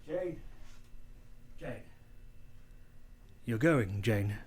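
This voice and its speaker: A man's voice: mid-range voice, lively intonation, very slow, a little mellow, breathy edge, exaggerated voice. A man playing an anguished master of a manor in a period radio play, pleading with passion. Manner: impassioned, pleading.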